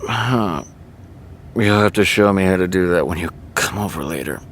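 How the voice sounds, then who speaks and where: Warren: a man playing a deep, sardonic night owl. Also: expressive, normal speed, fairly bright tone. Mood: groggy. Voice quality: dry, sarcastic. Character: sarcastic, sleepy young man who hates mornings. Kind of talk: audio drama acting.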